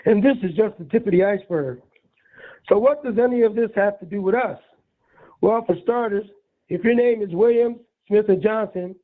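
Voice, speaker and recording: A hoarse man with a raspy voice, a street reporter, reading a news report in a measured, deliberate way. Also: high-pitched. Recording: tinny phone line, phoning in.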